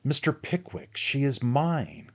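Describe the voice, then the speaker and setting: A man reading aloud and voicing an excitable, touchy middle-aged suitor who turns shrill and accusing. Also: normal speed, close to an everyday voice. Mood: excited, eager.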